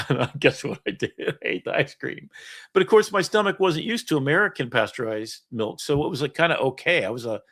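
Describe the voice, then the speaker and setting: A man's voice: a little high, a little fast. A middle-aged man, the host, telling rambling stories in casual chat, laughing often. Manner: storytelling, animated, laughs often. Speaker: podcast host.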